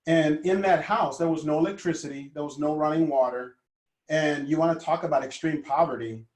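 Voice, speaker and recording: A man's voice: fairly high pitch, brisk. Earnest Honduran-born man, echoey room. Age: 50s. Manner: earnest, gentle storytelling with frequent fillers. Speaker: guest, life coach. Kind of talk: conversational storytelling.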